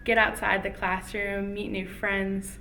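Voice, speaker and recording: A woman's voice: mid-range voice, little pitch movement, a little slow, creaky, sharp tone, recorded from a distance, slight background noise. A young woman from Chicago, a sports coordinator, answering interview questions in an upbeat, friendly way. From Chicago, USA.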